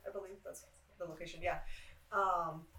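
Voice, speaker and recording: A woman's voice: on the low side, fairly even intonation, normal speed, pushed and bright. A woman of twenty-five, an art student, chatting casually in an interview and laughing often between rambling answers. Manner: giggly, rambling with frequent laughs. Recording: roomy sound from a distant mic.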